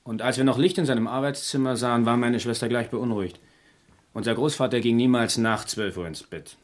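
A man's voice: mid-range voice, fairly even intonation, fairly quick, lightly acted. An actor playing a disdainful, defensive young man, grandson of the victim, in a German radio crime drama. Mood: cool, testy. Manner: dismissive, testy.

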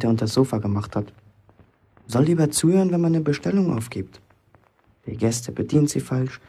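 A man's voice: medium pitch, dark tone, slightly rough. A man acting a cocky, grumbling fifteen-year-old apprentice bricklayer in a German radio play, self-important in his way of talking. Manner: boastful, indignant, self-important.

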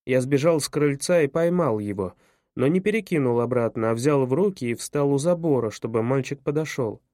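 Male narrator for a first-person audiobook; measured, even delivery, dry close recording. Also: mid-range voice, no echo.

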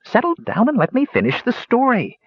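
A man's voice: very high voice, wildly swooping pitch, fairly quick, slightly rough, dry recording, dull audio, voice pushed up, heavily acted. A man doing a swooping, grumpy old dwarf hermit. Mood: storytelling. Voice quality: coarse, growling. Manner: folksy, rustic, ungrammatical speech. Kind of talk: fantasy audiobook character voice.

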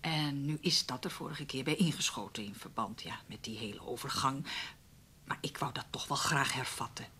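A woman playing a tactful, persuasive veteran fashion columnist in a Dutch radio play, with a husky voice and a coaxing, familiar manner. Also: extremely low pitch, normal speed, bright, forceful tone, whispered. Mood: tactfully coaxing.

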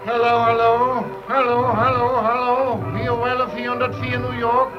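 An actor playing an excited radio operator, calling out hurriedly and shrilly in an old radio play. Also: male voice, very high voice, unhurried. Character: excited male New York radio operator relaying news. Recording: muffled old recording under loud music.

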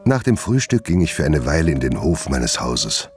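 An actor playing a haunted gentleman doctor, narrating his confession in a radio drama in measured, literary phrases. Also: extremely low pitch, very animated. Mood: grave confession. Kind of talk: scripted first-person radio drama narration. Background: music.

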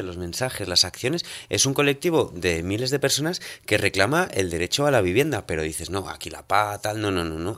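A man with a low, deep voice talks as a guest in a casual radio discussion. He tells his stories with animation and acts out the reactions of people he met. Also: a bit bright.

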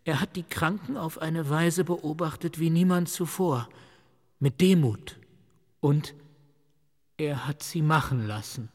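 In a long, echoing space, a clinic doctor in a radio play. His male voice sits a little high. He explains formally and proudly, like a guide. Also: slow, pauses often. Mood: proud, instructive. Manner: formal, lecturing. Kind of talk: radio drama dialogue. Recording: long reverberant hall echo.

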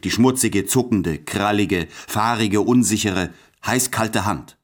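Radio drama role: diffident lover full of self-disgust, slightly deep, deliberate, intimate delivery. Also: male voice. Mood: awed, self-loathing. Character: shy, self-loathing male lover addressing his beloved.

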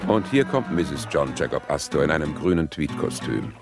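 A man's voice: rumbling low, sing-song, moderate pace, exaggerated voice. A man playing a detached commentator in a radio play, narrating with dry precision. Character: detached male commentator cataloguing passengers and their dress. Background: music.

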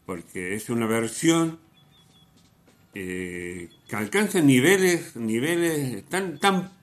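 A man hosting a radio programme, giving reflective music commentary in a halting way, often repeating himself as he searches for words. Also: mid-range voice, animated. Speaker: radio host.

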